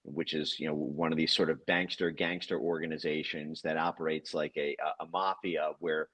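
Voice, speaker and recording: A man giving political commentary as a guest in a conversation, halting and long-winded, often repeating words as he goes. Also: rumbling low, level tone, fairly bright tone, slightly rough.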